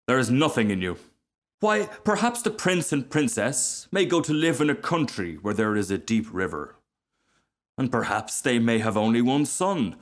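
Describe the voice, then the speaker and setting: A man's voice: medium pitch, voice pushed up, close to an everyday voice. Man playing a haughty, self-important storybook firework rocket. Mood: lofty, scornful. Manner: haughty, emphatic, self-pitying declaiming. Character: pompous, self-important, easily offended male firework rocket. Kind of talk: storybook character voice.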